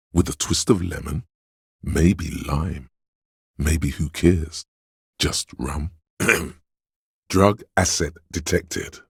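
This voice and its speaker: A man voicing a seductive, hedonistic inner voice of craving in a video game, gravelly and husky, coaxing slyly and savouring his words. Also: a bass voice, no echo, studio quality, exaggerated voice. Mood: teasing, craving, then sly, knowing. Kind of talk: dramatic video game narration.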